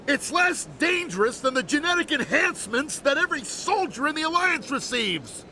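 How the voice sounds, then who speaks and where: An actor playing an exasperated, urgent brother-in-law in a video game drama, insistent and pleading. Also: male voice, high voice, big pitch swings, moderate pace, fairly bright tone, a little hiss or hum, exaggerated voice.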